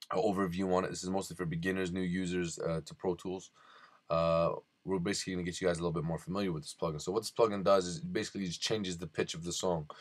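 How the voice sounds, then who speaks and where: A man with a low, monotone voice presents a beginner software tutorial. His delivery is informal and halting, like someone explaining on the fly. The sound is dry, with hardly any room to it. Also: no room sound. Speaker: tutorial host.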